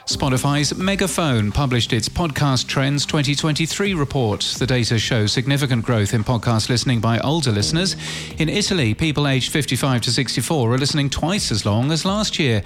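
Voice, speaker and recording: A man presenting a scripted news bulletin in a crisp headline style with brief dry asides. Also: medium pitch, lively intonation, fairly quick, slight background noise.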